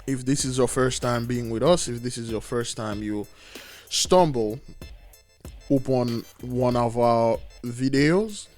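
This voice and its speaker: A raspy man preaching in an emphatic commentary, pressing hard on key words. His pitch sits mid-range for a man, and faint music plays underneath. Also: slow, darkish tone. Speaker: religious video host.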